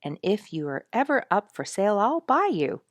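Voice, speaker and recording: A woman storyteller voicing a quiet-spoken, protective and proud father in a gentle, earnest manner. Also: mid-range voice, very animated, moderate pace, no echo, voice pushed up.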